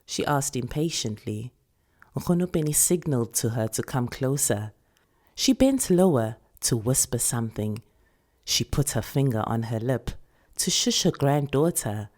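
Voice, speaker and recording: Very low-pitched South African woman narrator. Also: animated, unhurried, darkish tone. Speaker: actor reading a short story. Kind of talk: scripted literary narration.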